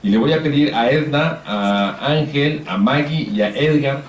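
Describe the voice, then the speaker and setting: A man's voice: medium pitch, unhurried, slight background noise. A man moderating a public panel, politely explaining the format and calling up speakers, with frequent hesitation fillers. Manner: organized, polite, filler-heavy. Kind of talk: event moderation. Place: event hall. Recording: echoey hall, distant mic.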